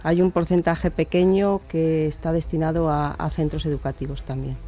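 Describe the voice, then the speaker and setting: A woman speaks as a municipal councillor on a radio show. Her pitch stays flat and narrow and her tone is mellow and darkish. She explains things steadily and plainly. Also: normal speed. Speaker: city councillor for family and social services. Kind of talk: radio interview guest.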